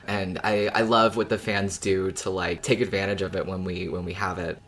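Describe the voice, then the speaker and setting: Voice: deep voice, little pitch movement, moderate pace, a bit bright. A podcast co-host chatting casually, rambling informally through their thoughts with the odd swear word. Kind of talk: casual podcast chat about an audio drama.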